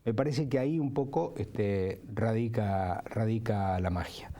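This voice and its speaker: Low, mellow-voiced man. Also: unhurried. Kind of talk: reflective interview answer.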